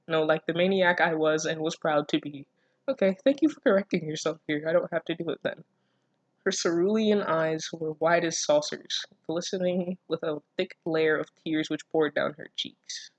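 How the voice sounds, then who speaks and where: A person reading fan fiction aloud casually, breaking off for expressive, incredulous asides full of swearing. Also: mid-range voice, normal speed, dry recording, rough recording. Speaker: online reader reacting to fan fiction. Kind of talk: casual read-aloud with running commentary.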